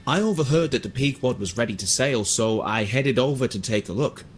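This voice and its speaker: A man plays an earnest ship's mate from a seafaring fantasy game. His mid-pitched voice moves up and down freely, expressive and a touch formal, with music behind him. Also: fairly quick, lightly acted. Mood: earnest, puzzled. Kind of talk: video game character dialogue.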